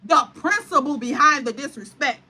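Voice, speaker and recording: A woman vlogger telling a wild story with animated, profane, dramatic flair. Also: high voice, moderate pace, rough recording. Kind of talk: storytime vlog.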